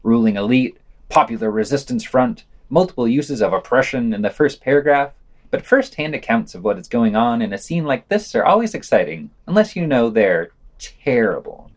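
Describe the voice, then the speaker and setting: Wry man reading news, lively pitch. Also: normal speed. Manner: wry, sardonic asides. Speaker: podcast news reader. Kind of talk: scripted news digest with wry commentary and read-out quotes.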